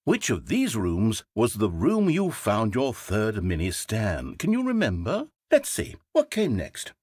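An actor playing a game's wistful, self-absorbed male narrator in a British accent, with a slightly husky, wry and theatrical delivery. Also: lowish voice, wildly swooping pitch, unhurried, darkish tone, no room sound, studio quality, full character voice. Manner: wry, theatrical, swinging between wistful and sarcastic. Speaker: game narrator. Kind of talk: scripted video game narration.

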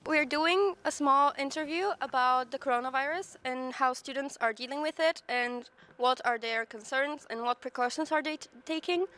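A young woman interviewing students with a handheld mic, asking brisk questions indoors. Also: high voice, sharp tone. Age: 20s. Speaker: street interviewer. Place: indoor university spaces.

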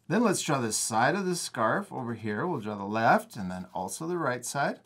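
A man's voice: mid-range voice, big pitch swings, normal speed, a bit bright, husky. A man hosting a step-by-step drawing lesson for children in a casual, encouraging manner. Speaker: art teacher and host.

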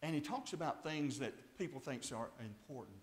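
A man in his sixties preaching a fiery sermon at a church pulpit, swinging from hushed tones to shouts. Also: mid-range voice, expressive, brisk. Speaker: pastor. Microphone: lapel mic, far camera. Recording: echoey church sanctuary.

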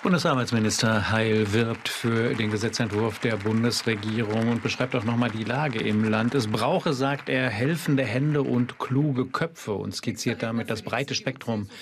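A man calmly summarizing a parliamentary debate as a live broadcast commentator. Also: mid-range voice, noisy background. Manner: calm, measured summary.

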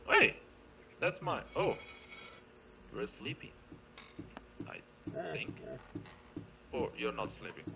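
A man's voice: medium pitch, big pitch swings, slow pace, gravelly, pauses often, bright, forceful tone, a little hiss or hum, poor audio. A man giving casual let's play commentary, rambling playfully and thinking aloud as he plays. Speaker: gameplay video creator.

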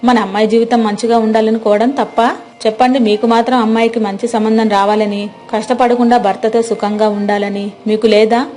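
A woman's voice: on the high side, level tone, a little fast, warm tone, a little hiss or hum, lightly acted. Nagging village wife played by a storyteller woman. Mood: indignant. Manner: complaining, argumentative. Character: nagging, self-interested middle-aged village wife.

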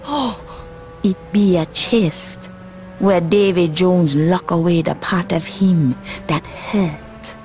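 A woman's voice: fairly low pitch, slow, dark tone, faint music behind. An actress playing an eerie, knowing sea witch in a video game, with a Caribbean accent and a mysterious storyteller's drawl. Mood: ominous, mysterious. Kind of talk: video game cutscene acting.

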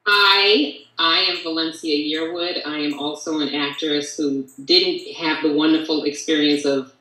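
A woman's voice: low voice, fairly even intonation, unhurried, pushed and bright. A middle-aged woman, an actress, introducing herself to a panel on a video call from a home office. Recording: very echoey video call from room.

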